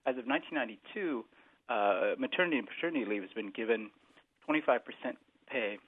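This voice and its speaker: A male caller on a radio call-in show, polite and halting, who hesitates often. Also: mid-range voice, lively intonation, slightly rough, no echo. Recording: tinny phone line.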